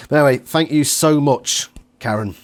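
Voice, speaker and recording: A British man chatting casually as an interviewer, jokey and quick to swear. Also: medium pitch. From Britain.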